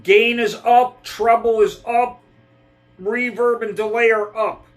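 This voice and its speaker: Middle-aged male guitar tutor, slow and meandering delivery, clears his throat between points. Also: very high-pitched, fairly even intonation. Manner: rambling, digressive, clears throat often. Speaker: guitar teacher. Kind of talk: casual guitar lesson talk.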